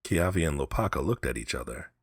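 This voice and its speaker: A man's voice: a bass voice, animated, a little fast, husky, dry recording, clean studio sound. A man reading a story aloud as scripted storybook narration. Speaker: audiobook narrator, story reader.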